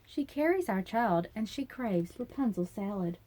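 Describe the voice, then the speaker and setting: A woman's voice: mid-range voice, animated, normal speed, mellow, close to an everyday voice, rough recording. A woman voicing an anxious husband in a storybook, meek and pleading. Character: anxious, pleading young husband in a fairy tale.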